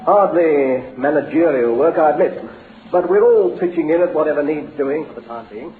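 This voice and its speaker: A man's voice: fairly high pitch, normal speed, close to an everyday voice. An actor playing a glib, patronising businessman with a falsely encouraging, jovial manner in an old-time radio drama. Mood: brisk, coaxing. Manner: hearty, patronising, chuckling. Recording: lo-fi narrow-band radio recording, faint noise, roomy.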